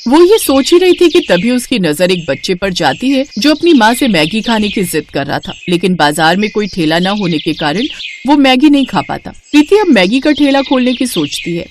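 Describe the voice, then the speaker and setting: A woman's voice: mid-range voice, big pitch swings, normal speed, a little hiss or hum. A woman reading a scripted moral story as its narrator.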